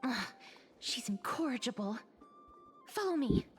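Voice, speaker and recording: Some music plays behind a young noblewoman from a video game. Her voice sits a little high, and she is courteous, earnest and reserved. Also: big pitch swings, unhurried. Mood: determined.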